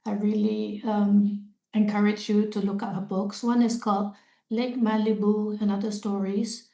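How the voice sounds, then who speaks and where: A woman moderates an online talk between poets. She has a mellow, soft voice with fillers between phrases, and she sounds as if she is in a roomy, echoing space. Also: mid-range voice, flat delivery, a little slow, gravelly. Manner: hesitant, with many fillers. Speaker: host. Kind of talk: moderating a poetry discussion.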